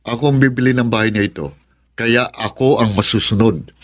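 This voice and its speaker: A man's voice: mid-range voice, brisk, natural voice. A man playing an assertive, easily exasperated engineer in a Filipino radio drama, given to protesting. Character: adult male engineer boyfriend, exasperated, assertive.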